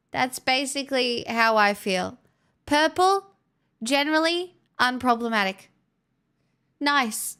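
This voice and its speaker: A woman's voice: sits high, fairly bright tone, clear, resonant tone. A woman hosting a livestream, chatting casually with viewers in a playful, teasing way. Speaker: livestream host.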